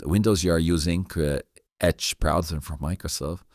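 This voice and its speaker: A man with a non-native English accent hosting an interview chat, halting and stammering, often repeating words. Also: sits low, fairly even intonation, darkish tone, dry recording, studio quality. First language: not English. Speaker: host.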